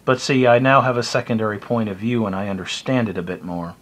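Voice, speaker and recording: A man's voice: lowish voice, steady tone, fast talker, fairly bright tone. A middle-aged American man talking casually and bluntly to camera, with a wry, opinionated manner. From United States. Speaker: hobbyist video blogger. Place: small indoor room by a window. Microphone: phone or webcam close to the face.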